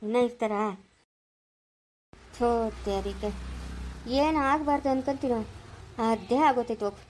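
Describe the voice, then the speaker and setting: A woman's voice: on the high side, normal speed, a little mellow, a little hiss or hum, close to an everyday voice, rough recording. Woman putting on a grumbling, irritable truck driver. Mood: irritated, evasive. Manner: grumbling, muttering complaints.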